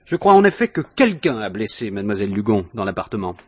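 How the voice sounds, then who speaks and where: Probing police inspector, swooping husky voice. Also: male voice, fairly low pitch, big pitch swings, fast, darkish tone, no echo. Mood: accusing. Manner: probing, insistent questioning with dry understatement. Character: male police inspector, probing, suspicious, coolly insistent. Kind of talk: 1950s French radio mystery acting.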